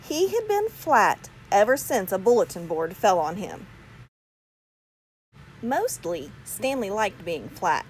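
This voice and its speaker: A woman reading a children's storybook aloud with expressive, deliberate phrasing. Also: mid-range voice, slightly rough. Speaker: storybook reader. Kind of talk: children's read-aloud narration.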